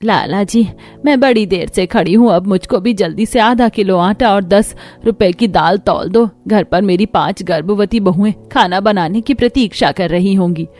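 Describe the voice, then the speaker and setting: A poor old village mother-in-law from a cartoon tale, voiced by a woman. She is self-sacrificing and sorrowful, pleading in a plaintive, often raised pitch. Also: normal speed, darkish tone, lightly acted. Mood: helpless pleading.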